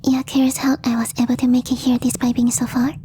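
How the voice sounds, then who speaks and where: A woman voicing a gentle, devoted young female alien in a soft, breathy whispered ASMR roleplay, speaking hesitantly in formal, slightly stilted phrases. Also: on the high side, monotone, fast talker, slight background noise, close to an everyday voice. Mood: gentle, earnest explaining.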